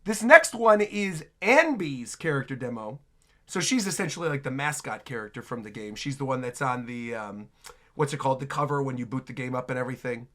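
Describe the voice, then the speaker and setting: A man giving casual reaction commentary, excitable and often repeating himself for emphasis. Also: mid-range voice, brisk. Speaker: reaction video host.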